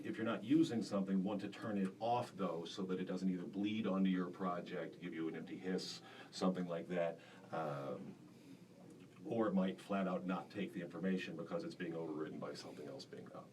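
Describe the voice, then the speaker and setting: Male studio teacher, very low and deep voice, relaxed and chatty, heard from off-mic with faint hiss. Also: far from the mic. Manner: casual, many fillers. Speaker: broadcasting instructor. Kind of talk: classroom demonstration. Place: school radio studio.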